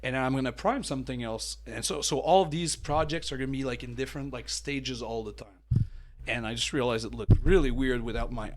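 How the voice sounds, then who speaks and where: A man with a mellow, middle-pitched voice talks loosely and chattily. He starts and restarts his thoughts and leans on fillers, like a hobby host talking to camera while he paints. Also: brisk. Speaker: miniature painting channel host. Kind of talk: casual talking-head hobby tips.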